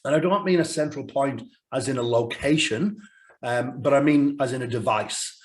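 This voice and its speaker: A man gives a business webinar over a video call. His voice is croaky from a cold, and now and then he has to cough or clear his throat. He speaks at a middle pitch in a steady presenter's manner. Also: moderate pace. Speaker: sales director presenting a webinar.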